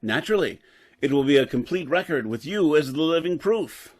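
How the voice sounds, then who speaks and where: A man's voice: on the high side, lively intonation, fairly quick. Grave, driven scientist professor, played by a man. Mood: calm, assured. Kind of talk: old-time radio drama acting.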